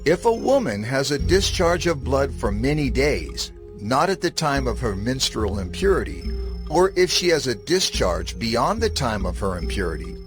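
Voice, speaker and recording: Male narrator for audio scripture. Mid-pitched, widely varied intonation, formal, measured delivery. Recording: prominent music bed under narration. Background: music.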